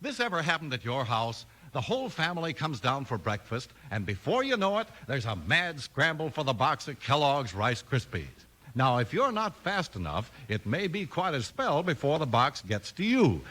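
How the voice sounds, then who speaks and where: A male announcer reads a chatty vintage radio advertisement. His voice is very lively and varied in pitch, with a husky edge. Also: normal speed. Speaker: commercial announcer.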